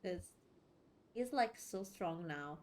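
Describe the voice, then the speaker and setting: A woman giving casual let's play commentary, in a halting, giggly way with repeated words. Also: lowish voice, animated, background noise. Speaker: gaming streamer.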